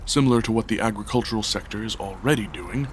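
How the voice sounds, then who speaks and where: An actor playing an irritable, long-legged head of a tech company in an audio drama, speaking in a terse, dry, weary manner. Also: male voice, lowish voice, fast, a little hiss or hum, lightly acted. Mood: dry, impatient.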